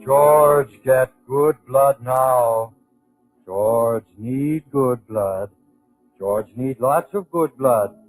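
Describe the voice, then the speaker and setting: A hulking, simple-minded madman in an old-time radio drama. He talks very slowly in halting, broken phrases, obsessed and childlike yet menacing. Faint music sits behind the vintage recording. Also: mid-range voice, rough recording. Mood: brooding, fixated. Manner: halting broken speech, repetitive.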